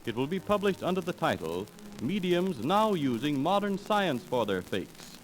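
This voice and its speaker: A man's voice: a little high, very animated, moderate pace, low-quality recording. A man working as a radio announcer, delivering a scripted 1930s-style announcement. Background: loud music.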